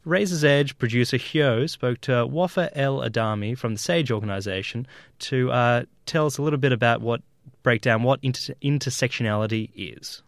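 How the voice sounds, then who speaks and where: A man working as a radio presenter, introducing a segment in a loose, halting way. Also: mid-range voice, no echo. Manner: loose, halting lead-in with fillers.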